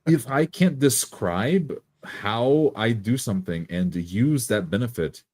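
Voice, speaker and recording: A man's voice: medium pitch, animated, takes it slowly, darkish tone. A man who plays tabletop games, casually explaining his thoughts with examples and checking in as he goes. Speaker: tabletop player and game designer. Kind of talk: casual explanatory chat about roleplaying games.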